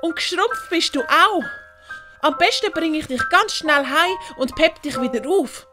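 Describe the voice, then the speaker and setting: A woman acts out a kind little boy in an audiobook tale. The voice is high and lively, gentle and curious, with faint music underneath. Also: a little slow. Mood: curious, caring. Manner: wondering, gentle.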